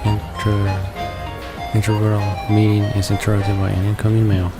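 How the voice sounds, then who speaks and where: A man playing a visual novel and reading its text aloud in a halting way, stumbling and repeating words. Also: fairly low pitch, monotone. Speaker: let's player. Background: game background music.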